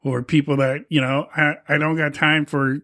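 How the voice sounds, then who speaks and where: A man's voice: fairly high pitch, level tone, normal speed, bright, forceful tone, no room sound, clean studio sound. A man in his fifties co-hosting a podcast, talking in a laid-back, rambling way, swearing often and restarting his sentences. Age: 50s. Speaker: podcast co-host. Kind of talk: casual chat.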